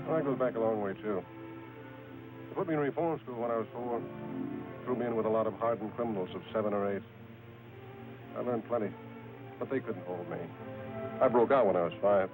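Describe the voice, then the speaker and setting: A man acting a cynical American on the run in an old film noir. He talks very fast, in clipped, sardonic bursts, over prominent music on a thin, phone-like soundtrack. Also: on the low side, lightly acted. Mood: wry. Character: quick-witted cynical American man on the run, posing as tourist. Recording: tinny band-limited old film soundtrack, music behind.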